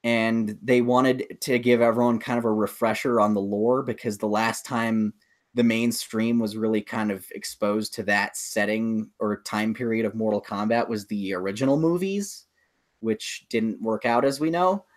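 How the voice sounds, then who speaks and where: A man's voice: lowish voice, fairly even intonation, normal speed. A man co-hosting a podcast, chatting casually and explaining things in a rambling, enthusiastic way. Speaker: podcast co-host. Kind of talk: casual chat about films and games.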